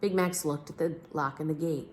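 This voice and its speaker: A woman reading a children's book aloud as a storyteller, with an expressive, theatrical delivery. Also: low voice, normal speed, a little hiss or hum.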